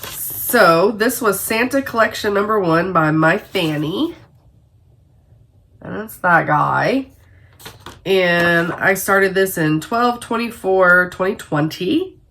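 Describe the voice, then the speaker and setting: A woman's voice: mid-range voice, expressive, slow, a bit bright, slight background noise. A woman with a stuffy head cold, chatting casually and rambling, with frequent little laughs, as a cross-stitch vlogger. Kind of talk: casual chat to camera.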